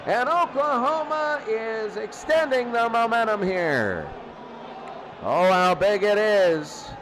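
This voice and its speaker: A man doing excitable play-by-play football commentary in a radio style, often repeating key phrases. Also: high voice, slow pace, slight background noise, low-quality recording.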